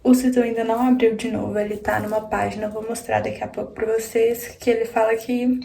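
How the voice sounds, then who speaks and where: A woman's voice: fairly high pitch, level tone, quick pace, gravelly, dark tone. A young woman vlogger chatting casually to her viewers, with frequent fillers. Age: young adult. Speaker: student vlogger. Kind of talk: casual vlog chat. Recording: echoey room, faint music.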